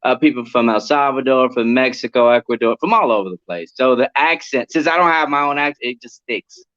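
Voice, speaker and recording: A man's voice: mid-range voice, fairly quick. A man, an actor born in Puerto Rico and raised near Atlanta, chatting casually as a guest over a video call. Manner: relaxed, chatty, laughs easily. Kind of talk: casual interview chat. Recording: lo-fi online call audio.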